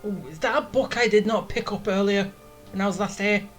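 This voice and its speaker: Female game streamer, mid-pitched, casual and sarcastic, faint game music behind her. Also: fairly even intonation, brisk. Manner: teasing, sarcastic. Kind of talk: casual playthrough commentary. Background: video game music.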